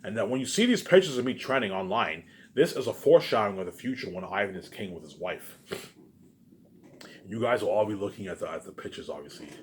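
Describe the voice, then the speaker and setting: Male online video maker, lowish voice, very quick delivery, looping unscripted rant that surges loud then sinks to a mutter. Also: expressive. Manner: repetitive, circling back to same phrases; emphatic, swinging between loud bursts and low muttered asides. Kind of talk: rambling unscripted monologue to viewers.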